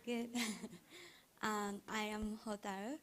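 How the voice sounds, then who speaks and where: A young woman from Japan presents her geopark in a soft, careful way. She talks slowly and evenly over a video call. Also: mid-range voice, flat delivery, crowd noise. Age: 20s. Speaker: student presenter. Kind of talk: slide presentation.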